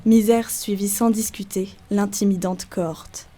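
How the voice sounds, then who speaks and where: A woman's voice: mid-range voice, steady tone, measured pace, dark tone. A woman narrating a literary folk tale as a scripted storyteller.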